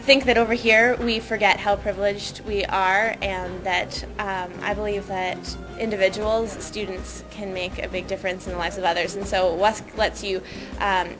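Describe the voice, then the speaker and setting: A woman's voice: mid-range voice, low-quality recording. Idealistic woman over music. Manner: idealistic, thoughtful with fillers. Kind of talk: interview testimonial.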